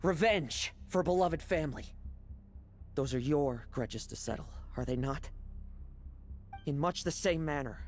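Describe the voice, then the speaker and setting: A man's voice: fairly high pitch, a little slow, long pauses, a little hiss or hum. A man playing a cool, brooding young knight vice captain in a video game, with a clipped, sardonic manner. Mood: composed, sardonic. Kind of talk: dramatic game voice acting. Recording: music behind the voice.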